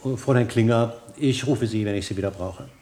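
An actor playing a confident, calculating scientist and physician in a German radio drama, brisk, clinical and assured. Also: male voice, medium pitch, darkish tone, slight background noise, close to an everyday voice. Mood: pleased, breezy.

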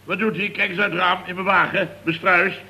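A man's voice: high voice, steady tone, a little fast, pushed and bright, exaggerated voice. A man playing a blustering, muddled contractor boss in 1970s radio comedy, flustered, stammering and repeating himself. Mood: flustered, alarmed. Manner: flustered, repetitive, stammering restarts.

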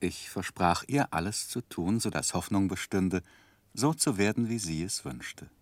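An actor playing a grown gentleman who looks back on his youth, narrating a 1960s German radio play in a measured, expressive literary manner. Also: sits low, no room sound. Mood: measured storytelling.

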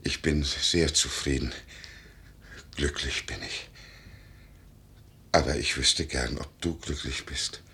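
An actor in a German radio play as a melancholy, good-hearted suitor, husky, soft-spoken and earnest. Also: male voice, steady tone, slow, long pauses, full character voice. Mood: tender, hopeful. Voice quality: husky, very deep.

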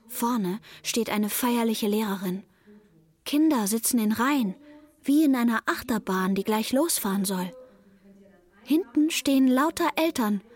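A woman playing an earnest six-year-old daughter who narrates life with her mother in simple, measured words for a radio drama. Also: fairly high pitch, no echo. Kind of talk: radio drama, first-person narration and dialogue.

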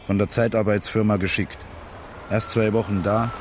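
A low-pitched male actor as a weary working-class narrator in a radio play. He talks in clipped, slangy fragments with a bitter edge. There is clear noise behind the voice. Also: fairly even intonation, moderate pace, close to an everyday voice. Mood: weary, sardonic. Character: weary, bitter working-class man narrating his dead-end life. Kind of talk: radio drama interior monologue.

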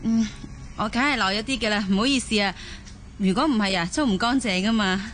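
A woman's voice: medium pitch, fairly quick, fairly bright tone, a little hiss or hum, close to an everyday voice. A woman acting a defensive, argumentative mother from the mainland in a Cantonese radio drama. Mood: apologetic, defensive. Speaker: voice actor in an audio drama.

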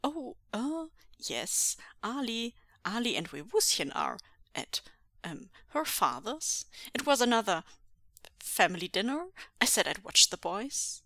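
A woman's voice: high voice, sing-song, moderate pace, hardly any pauses, bright, forceful tone, no room sound, studio quality, voice pushed up, exaggerated voice. A woman voicing an awkward, flustered man who stammers and hesitates in a story.

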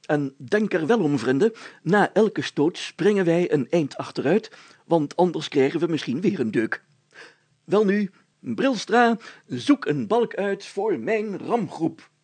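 A man's voice: on the high side, lively intonation, a little slow, a little mellow, breathy edge. A man voicing a pompous, bossy village mayor in a storybook, grandly declaiming like a self-styled hero. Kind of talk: storybook character voice.

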